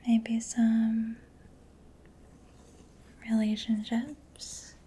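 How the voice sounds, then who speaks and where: A woman whispers softly and very slowly in an ASMR roleplay, playing a reassuring mechanic. Her voice is breathy and mellow, with gentle, level intonation. There is a faint wash of background noise. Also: fairly high pitch, completely flat delivery. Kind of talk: soft-spoken ASMR roleplay as a mechanic examining a client.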